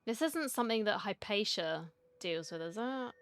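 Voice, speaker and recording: A woman's voice: mid-range voice, animated, normal speed, a bit bright. A woman giving casual, informal commentary as she plays through a game, chatting and reacting aloud. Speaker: game streamer.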